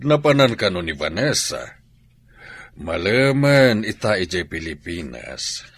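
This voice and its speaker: A man's voice: sits low, sing-song, unhurried, fairly bright tone, slight background noise, full character voice. A man with an Ilocano accent playing a stern father in a radio drama.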